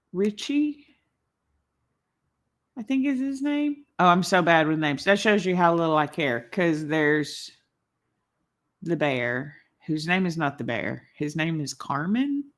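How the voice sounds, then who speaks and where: A woman's voice: fairly low pitch, fairly bright tone. Chatty, slightly congested woman. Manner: rambling, chatty, laughs often. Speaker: vlogger hosting a live stream. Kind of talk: casual live-stream chat while building a toy.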